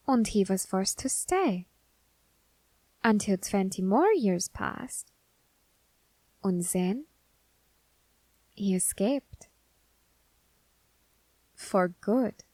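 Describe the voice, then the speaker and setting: A woman playing a scholarly, quietly menacing Grim Reaper in an ASMR roleplay, speaking in formal, deliberate phrases with pauses. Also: medium pitch, no room sound. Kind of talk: ASMR character roleplay audio drama.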